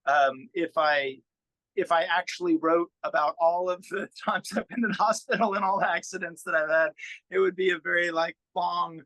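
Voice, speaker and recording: A man with a bright, fairly high voice chats informally as a musician being interviewed. He is self-effacing and easygoing in his wording, and the sound is close and dry. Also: moderate pace, no room sound, rough recording. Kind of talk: casual interview chat.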